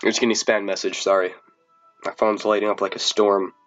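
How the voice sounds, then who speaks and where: A man with a cold, talking casually on a live stream in a deep voice and tripping over his words as he goes. The audio is dull and lo-fi. Faint music plays behind him. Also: little pitch movement, a little fast, a bit bright. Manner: rambling, stumbling over words. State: sick. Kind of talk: casual live-stream chat and reading aloud.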